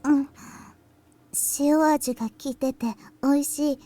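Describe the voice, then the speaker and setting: A woman's voice: sits high, steady tone, moderate pace, warm tone, lightly acted. A Japanese woman voicing a small, understated girl scientist with terse, hesitant speech in a visual novel. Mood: soft, reflective.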